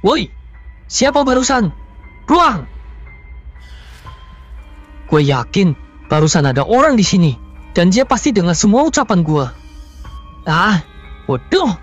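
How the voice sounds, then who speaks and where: A man voicing a bold, vengeful hotel worker with a secret plan in an animated horror comedy, speaking in a dramatic, menacing way. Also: sits high, slow pace, long pauses, exaggerated voice. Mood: alarmed, angry. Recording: dull, lo-fi sound with music.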